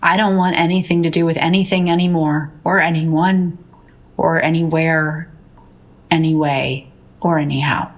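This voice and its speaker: A world-weary painter speaks in a slightly low voice. She is dry and detached, turning cryptic and philosophical in natural audio drama dialogue. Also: steady tone, moderate pace. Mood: weary, resigned.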